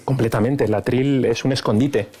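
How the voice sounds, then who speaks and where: A man on an online panel, speaking emphatically with frequent hesitant pauses. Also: mid-range voice, steady tone, warm tone, slightly rough. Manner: emphatic, many filler "eh" pauses. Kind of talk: panel discussion. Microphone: video call.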